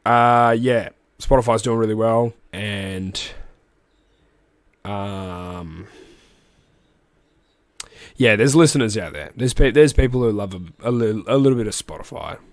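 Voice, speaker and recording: A man's voice: on the low side, measured pace, long pauses, no room sound, studio quality. An Australian man with a cold, phlegmy and coughing now and then, hosting a rambling, casual rugby league recap. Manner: rambling and chatty, with restarts and asides. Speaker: rugby league podcast host.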